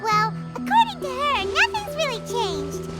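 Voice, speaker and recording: A woman voicing a tiny, childlike, squeaky fairy companion in a video game, eager and earnest with an exclaiming manner. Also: big pitch swings, unhurried, full character voice. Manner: refers to self in third person, exclaiming. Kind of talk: video game character acting. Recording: game music behind.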